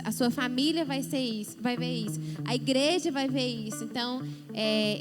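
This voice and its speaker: A chatty woman talks on a church panel and sometimes stops to find her thread. Her voice is high. Music plays softly behind her. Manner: chatty, loses her train of thought. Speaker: panelist at church youth service. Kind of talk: conversational church panel talk. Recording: music playing underneath.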